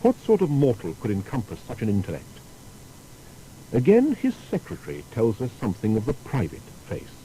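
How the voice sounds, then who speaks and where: Very dark, animated male documentary narrator. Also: mid-range voice, brisk, slight background noise, poor audio.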